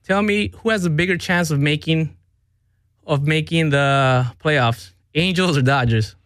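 A man hosting a sports radio show, talking casually, lively and teasing, quick to cut in. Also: fairly high pitch, dry recording, clean studio sound.

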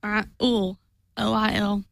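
A woman's voice: mid-range voice, level tone, unhurried, gravelly, no echo, studio quality. A young woman with a Southeast Kentucky accent, a guest giving short, giggly replies in a casual chat.

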